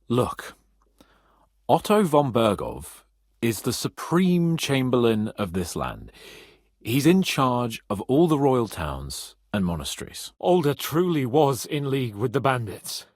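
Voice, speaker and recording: A man's voice: medium pitch, wildly swooping pitch, slow, dry recording, studio quality, full character voice. A man playing a cocky, haughty young medieval nobleman in a video game, sardonic, emphatic and brash. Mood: wry, frank. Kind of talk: video game character dialogue.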